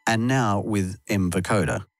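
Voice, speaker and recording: A man narrating a scripted software tutorial in a measured, instructional way, addressing the listener directly. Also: on the low side, music in the background.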